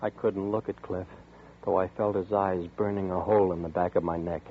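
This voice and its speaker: A man's voice: low voice, level tone, brisk, slight background noise, natural voice. An actor playing a nervous man who narrates, in tense, uneasy 1940s radio acting. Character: nervous young man haunted by déjà vu. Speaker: narrator and lead character. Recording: tinny old radio broadcast with music.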